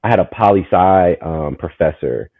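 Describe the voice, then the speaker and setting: Guest: man, 30s, from Maryland, rambling storyteller, low voice, phone-quality audio, dry. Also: moderate pace, no echo. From Maryland, USA. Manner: animated, rambling, full of "you know what I'm saying". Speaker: guest, community market founder. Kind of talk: casual storytelling conversation.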